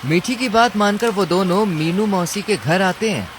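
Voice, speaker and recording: A man narrates a scripted cartoon tale as storyteller. There is clear rain and ambience noise behind his voice. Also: sits high, normal speed.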